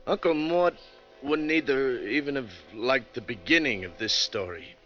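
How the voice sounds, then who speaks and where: An actor playing a wounded, sardonic American bus driver recounting a grim story in slangy 1940s radio drama style. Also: male voice, mid-range voice, measured pace, lightly acted. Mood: grim storytelling. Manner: folksy, hard-boiled, slangy. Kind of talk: 1940s radio drama acting, slangy first-person narration. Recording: dull vintage radio recording, music behind.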